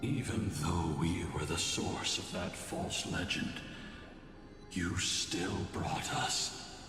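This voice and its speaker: A man's voice: deep voice, slow pace. A man playing a solemn, weary guardian spirit of the sky in a game. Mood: solemn, grateful. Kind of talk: dramatic game story acting. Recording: distant cavernous echo, music behind.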